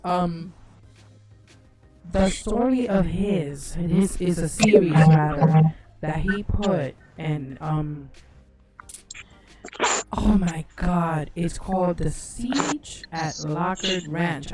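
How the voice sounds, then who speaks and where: A woman's voice: fairly low pitch, takes it slowly, a loud crowd. A woman co-hosting a casual livestream talk, rambling and gushing with many restarts. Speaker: co-host.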